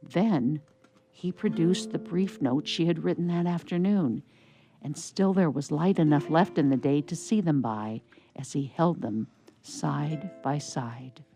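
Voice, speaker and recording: A woman narrating a scripted story for radio theatre in a measured storytelling manner. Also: low-pitched, mellow, faint music behind.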